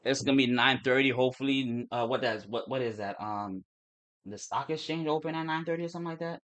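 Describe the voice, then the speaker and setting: A young man from Turks and Caicos with a mixed Caribbean and American accent, talking fast and casually on a trading livestream, with restarts and swearing. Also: medium pitch, a bit bright. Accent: Turks and Caicos Creole-English mix.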